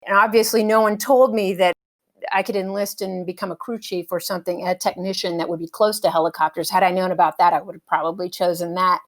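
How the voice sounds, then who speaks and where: Middle-aged woman, thoughtful and unhurried. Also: lowish voice, fairly even intonation. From United States. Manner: thoughtful, with frequent fillers and light laughs. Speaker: interview guest, helicopter pilot. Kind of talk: casual conversational interview.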